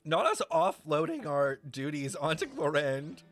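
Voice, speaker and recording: A young man giving casual reaction commentary on a game, expressive and slangy, thinking aloud. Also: on the high side, measured pace.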